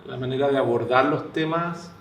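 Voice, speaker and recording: A man's voice: medium pitch, moderate pace. A Chilean man offering a reflection at a public discussion, speaking hesitantly and searching for his words. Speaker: audience participant from a community organization. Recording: roomy, distant mic, faint noise.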